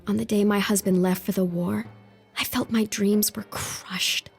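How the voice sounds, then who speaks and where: A woman's voice: medium pitch, fairly even intonation, measured pace, creaky, husky. A young noblewoman in an audio drama, earnest and determined. Her voice sits at an average height and her speech is polite and formal, with faint music behind it. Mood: yearning.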